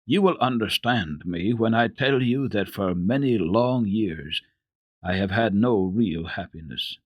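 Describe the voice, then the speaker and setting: Courteous, low-voiced gentleman, read by a man. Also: unhurried, no room sound, lo-fi sound. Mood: friendly, earnest. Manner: warm, formal, earnest. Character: grateful, courteous gentleman father in a storybook.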